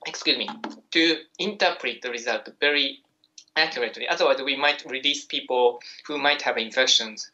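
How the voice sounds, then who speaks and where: Japanese-accented man with a bright, muffled voice. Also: medium pitch, moderate pace, a little hiss or hum. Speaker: infectious disease doctor. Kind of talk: press conference answers.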